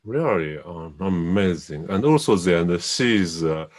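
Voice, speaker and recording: Low-voiced Japanese man, groping for words. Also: expressive, slow. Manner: hesitant, searching for English words. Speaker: host. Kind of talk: casual chat in a second language.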